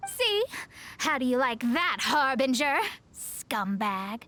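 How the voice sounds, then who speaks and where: A woman's voice: high-pitched, expressive, takes it slowly, sharp tone, a little hiss or hum, exaggerated voice. A woman playing a proud, articulate young female astrologer in a video game, self-assured and slightly haughty. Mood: smug, scornful. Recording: music playing behind.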